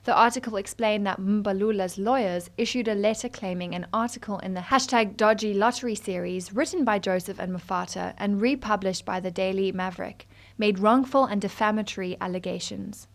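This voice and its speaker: A young adult woman narrates a written news feature. Her voice sits at a middle pitch, and she delivers the text as a scripted read, in the role of a student journalist reading an article.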